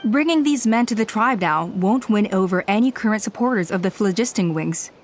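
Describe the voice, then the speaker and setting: A woman voicing a calm tribe elder in a video game who speaks in a measured, patient way and counsels caution. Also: mid-range voice, a little hiss or hum, close to an everyday voice.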